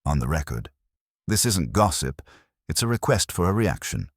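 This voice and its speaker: A man voicing a wry, quick-witted detective's assistant in a scripted story, deadpan. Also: deep voice, husky, no echo, clean studio sound, full character voice. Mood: pointed.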